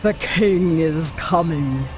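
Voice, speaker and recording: A woman's voice: deep voice, slow, darkish tone, slight background noise. An actress playing a haughty, fanatical witch queen in a video game, regal, ominous and theatrical. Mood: exultant, ominous. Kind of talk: video game cutscene acting. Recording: music under the voice.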